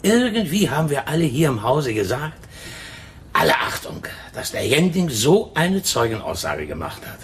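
A man playing a chatty, gossipy tobacco shopkeeper in a German radio crime drama, talking in an emphatic, drawn-out way. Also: mid-range voice, sing-song, slightly rough. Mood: chatty, recalling. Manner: talkative, emphatic, drawn-out storytelling. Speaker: tobacco shop owner in a radio play.

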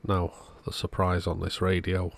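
A man's voice: sits low, completely flat delivery, normal speed, creaky, dry recording. A man narrating a repair job in a casual, matter-of-fact, understated way. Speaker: host of electronics repair channel.

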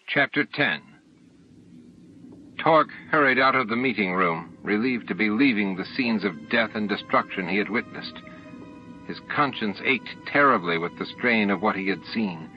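A man reading a novel aloud as an expressive audiobook narrator. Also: lowish voice, a little slow. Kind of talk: expressive scripted book narration. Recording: dull lo-fi audiobook tape recording. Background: music and sound effects.

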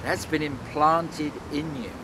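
A man's voice: fairly high pitch, takes it slowly. Older man over noisy outdoor ambience. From London, England. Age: 60s. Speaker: world traveler being interviewed. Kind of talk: reflective personal storytelling to camera. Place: outdoors in a leafy city park.

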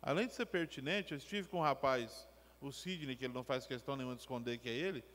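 A man's voice: medium pitch, quick pace, a bit bright. A man speaking as a city councillor at a meeting table, insistent and emphatic. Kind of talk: council floor remarks. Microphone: table gooseneck mic. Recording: long hall reverb.

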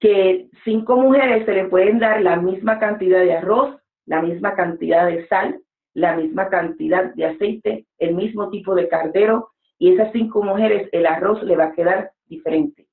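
A woman's voice: medium pitch, moderate pace. Woman preacher in her 40s giving earnest advice over a lo-fi, echoey video call. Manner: earnest, exhorting, with gestures. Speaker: guest preacher. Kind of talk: motivational interview talk. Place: home room with bookshelves. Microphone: webcam on a video call. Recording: echoey room, phone-like sound.

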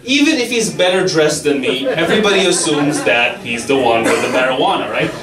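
A young man does stand-up with a handheld mic, his voice sitting a little high. He lays out his bits in a deadpan, mock-authoritative way. The sound is roomy and distant, with heavy audience laughter around him. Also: a crowd in the background. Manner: deadpan mock-authoritative delivery, quick asides. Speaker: stand-up comedian. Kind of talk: stand-up comedy set. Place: comedy club stage, audience laughing. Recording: echoey club from far off, loud audience laughter.

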